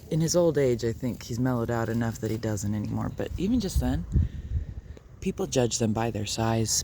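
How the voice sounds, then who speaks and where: A man talking musingly while walking his dogs outdoors, sometimes speaking softly to them, recorded on a phone. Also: medium pitch, creaky, slight background noise. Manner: musing, soft-spoken, talks to his dogs. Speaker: video blogger. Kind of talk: rambling walk-and-talk vlog. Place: outdoors on a neighborhood walk.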